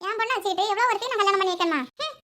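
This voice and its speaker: A woman's voice: extremely high pitch, racing, bright, forceful tone. A woman playing a domineering, scheming middle-aged stepmother in a family melodrama, with a Kanyakumari Tamil accent. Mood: haughty, scolding.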